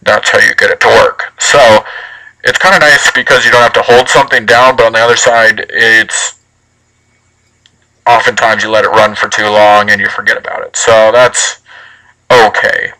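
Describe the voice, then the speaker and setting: A man's voice: on the low side, steady tone, moderate pace, bright, forceful tone, rough recording. A man giving a casual product critique, his voice amplified harshly through a megaphone. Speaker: product reviewer.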